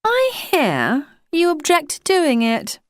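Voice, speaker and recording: A woman voicing a haughty, pompous male surveyor with a high-pitched, clipped upper-class manner. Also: huge pitch swings, slow, no room sound, studio quality, exaggerated voice.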